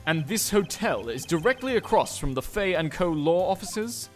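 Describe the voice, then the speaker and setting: A man voicing a haughty, condescending prosecutor with formal, measured phrasing in a video game fandub. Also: high-pitched, big pitch swings, faint music behind. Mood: polished. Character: smug, haughty male prosecutor, cool and theatrical.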